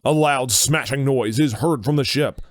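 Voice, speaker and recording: A man's voice: medium pitch, normal speed, no room sound, studio quality. A man giving scripted narration for an audio drama as its narrator.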